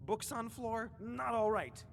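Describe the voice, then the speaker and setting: A woman's voice: a little high, a little slow, gravelly, big-room sound, slight background noise. A woman playing an eccentric, scatterbrained, book-obsessed librarian in a video game, rambling in sing-song rhymes and wordplay. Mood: welcoming, dotty. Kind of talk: theatrical video game character acting.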